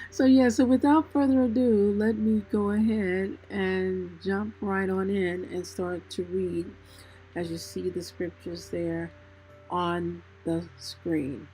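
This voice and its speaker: Female livestream host for scripture reading, mid-pitched and relaxed, taking her time. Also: slow pace, rough recording. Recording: music playing behind the voice. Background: background music.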